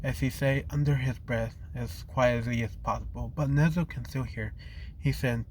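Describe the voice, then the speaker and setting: A man's voice: medium pitch, fairly even intonation, a little mellow, slight background noise, rough recording. A man narrating a fan story off the cuff while losing his voice, stopping and correcting himself often. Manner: halting, frequent restarts and self-corrections.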